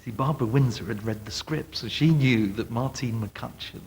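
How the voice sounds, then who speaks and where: A man's voice: mid-range voice, normal speed, gravelly. A man telling gossipy anecdotes emphatically in an interview. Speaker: interviewee, pantomime booker. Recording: VHS transfer with faint music.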